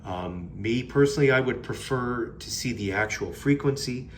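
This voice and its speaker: A man's voice: mid-range voice, normal speed, a little hiss or hum. A man giving a casual, unscripted product review in a plain-spoken, mildly critical way. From Canada.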